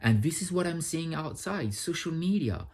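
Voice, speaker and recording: A man's voice: a little high, lively intonation, normal speed, a little mellow, breathy edge. A man talking straight to his audience in an unscripted personal monologue, blunt and profane, building to impassioned outbursts. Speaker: vlogger sharing personal insights.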